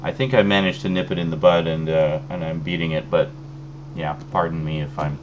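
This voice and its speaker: A man with a very low voice talks loosely through an unboxing, full of ums and trailing restarts. He sounds a little phlegmy from an oncoming cold. A faint hum sits under the recording. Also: fairly quick, muffled recording. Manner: relaxed, rambling, many fillers. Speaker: video host. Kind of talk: casual unboxing chat.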